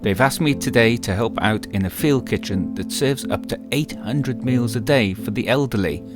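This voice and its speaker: A man presenting a food travel documentary, narrating in a calm, measured way. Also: mid-range voice. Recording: narration over guitar music.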